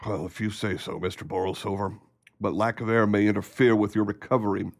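A man acting in a radio play as a patient, sensible family doctor with a formal, measured manner. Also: lowish voice, fairly even intonation, gravelly. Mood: concerned, resigned.

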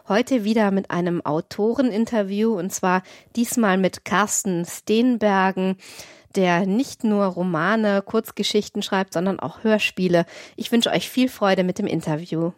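A woman's voice: mid-range voice, measured pace, a little mellow, dry recording, studio quality. A woman hosting an interview in a conversational way. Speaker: podcast interviewer and host.

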